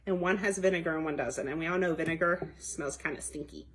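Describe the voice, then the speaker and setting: A woman's voice: low voice, quick pace, breathy edge. A woman hosting a children's storytime, explaining a science activity simply and putting questions to young children. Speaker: library storytime host for children.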